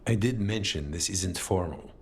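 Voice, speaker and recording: An actor playing a dry, formal, grumbling leader of an assassin guild in a video game, deadpan and clipped. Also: male voice, low voice, moderate pace, vocal fry, a little hiss or hum. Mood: dry, mournful. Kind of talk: video game cutscene dialogue.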